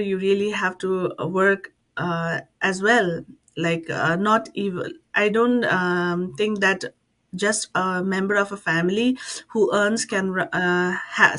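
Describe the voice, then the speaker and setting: A woman in her forties from Nepal, a guest on an online talk show, telling her story with frequent pauses and fillers. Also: mid-range voice, a bit bright. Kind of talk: interview storytelling.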